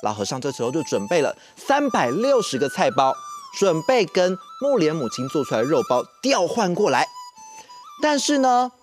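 A young man with a Taiwanese accent presents a children's story. He is expressive, with a widely swinging pitch, and puts questions to young listeners. Music plays behind him. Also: moderate pace. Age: young adult. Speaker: children's show host. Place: TV studio set.

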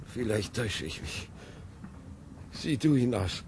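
Ein Schauspieler spielt in einem alten Hörspiel einen uralten, unruhigen Großvater, der langsam und stockend spricht. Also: male voice, on the low side, lively intonation, a little mellow, a little hiss or hum, full character voice. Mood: awed, uneasy. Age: older. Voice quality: creaky, slow and halting. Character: very old man, grandfather, restless and fearful. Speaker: actor in a radio play. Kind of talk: 1950s radio drama acting.

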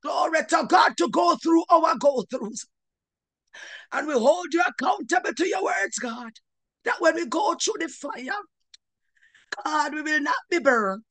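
A woman's voice: high voice, fairly bright tone, dry recording. A woman minister leading a fervent Pentecostal prayer, tearful and impassioned, punctuating her declarations with short "uh"s and cries to God. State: tearful, crying.

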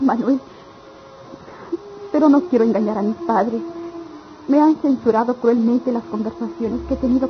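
An actress playing a dutiful, sorrowful young country sweetheart in a radionovela, speaking solemnly and earnestly. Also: female voice, a little high, close to an everyday voice. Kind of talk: radionovela acting. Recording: dull, noisy old radio drama recording.

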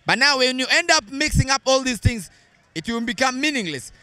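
A man's voice: extremely high pitch, expressive, a little fast, sharp tone, smooth, no echo. A young man hosting a street interview outdoors on a handheld mic, talking in a sing-song presenter style that mixes English and a local language. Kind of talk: street vox-pop interview. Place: busy town street outdoors.

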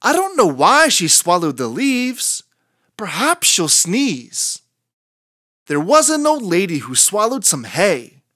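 A narrator reading aloud for young children with a deliberate, rhythmic sing-song delivery. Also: mid-range voice, wildly swooping pitch, a bit bright, dry recording, clean studio sound. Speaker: children's entertainer and story reader.

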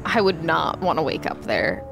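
A woman's voice: low-pitched, sing-song, moderate pace, gravelly, music in the background. A woman giving casual, musing commentary as a reaction host.

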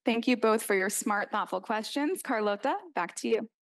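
A woman's voice: fairly high pitch, moderate pace. A young woman hosting a stage event, welcoming and directing speakers. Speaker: event host.

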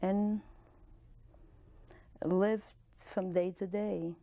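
Gravelly-voiced older Polish woman. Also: mid-range voice, fairly even intonation. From Poland. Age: 60s. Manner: measured, plain-spoken, with soft laughs and restarts. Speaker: interviewee, Holocaust survivor. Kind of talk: oral history interview. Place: studio with dark curtain backdrop. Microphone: lapel mic.

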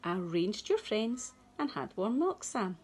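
A woman voicing a gentle, patient mother bear at bedtime, with lilting questions and thoughtful pauses. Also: medium pitch, very animated, breathy edge, full character voice. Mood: puzzled, thinking.

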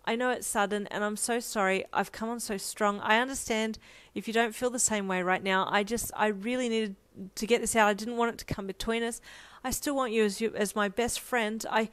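Female reader as earnest young man, audiobook romance. Hesitant, soft-spoken, apologetic, dry recording. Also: a little high, steady tone, a little fast, clear, resonant tone, no room sound, voice pushed up, lightly acted.

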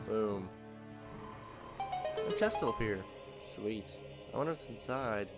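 Let's-play host, man, a bit nasal and low-pitched, rambling commentary over steady game music. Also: takes it slowly. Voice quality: slightly nasal from allergies. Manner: rambling, thinking aloud with many ums. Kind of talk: casual gameplay commentary.

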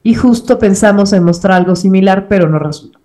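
A young woman co-hosts a live art stream from home. She reads viewer comments aloud plainly. Her voice is mellow and dark, at a middle pitch. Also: fairly quick. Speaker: co-host reading chat.

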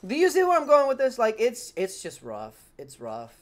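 A man's voice: very high-pitched, wildly swooping pitch, moderate pace. Young man with a Spanish accent. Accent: Spanish-speaking. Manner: repeats phrases, talks to his dog mid-game. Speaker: gaming streamer. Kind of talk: casual live-stream chat with viewers. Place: home room at a desk.

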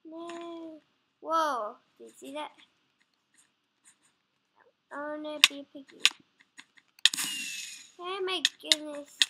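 A child gamer, sniffly from a runny nose, giving excitable casual commentary while playing. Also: female voice, sits high, very slow, long pauses. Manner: excitable, squeals and groans at the game. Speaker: gameplay commentator. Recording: loud background noise.

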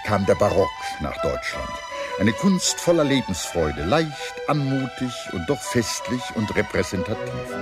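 Guy with a low voice doing a scripted history doc narration, pretty formal, music under it. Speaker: documentary narrator. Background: music behind the narration.

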